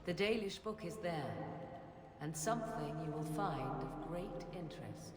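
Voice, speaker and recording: A woman's voice: sits low, expressive, measured pace, echoey room, big-room sound, noisy background. An actress playing a proud, guarded witch in a fantasy video game, with formal archaic phrasing and a theatrical, cutting delivery. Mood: resigned, guarded. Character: proud, guarded witch, sharp-tongued and ominous.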